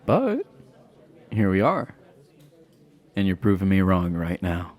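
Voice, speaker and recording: Man playing a deep-voiced flirty himbo jock. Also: wildly swooping pitch, a little mellow, exaggerated voice. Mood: playful teasing. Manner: halting, bashful chuckling, teasing. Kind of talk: romantic roleplay audio acting, addressed to listener.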